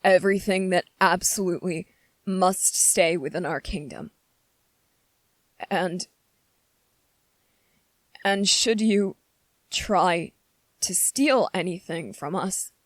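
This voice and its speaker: A gentle young prince played by a woman speaks slowly and haltingly, choosing courteous, formal words with an anxious care. The fantasy ASMR roleplay is recorded close and dry, with no room around the voice. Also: on the low side, no echo. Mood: grave, reluctant. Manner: hesitant, formal, polite, halting phrasing. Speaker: voice actor in audio roleplay. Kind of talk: ASMR character roleplay, scripted fantasy monologue.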